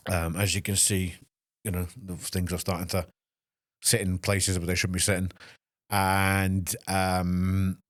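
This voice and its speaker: A Scottish man in his fifties, a fitness trainer hosting a solo podcast, speaking in an emotional, halting way with many restarts. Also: deep voice, no echo, studio quality. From Scotland. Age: 50s. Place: small podcast studio.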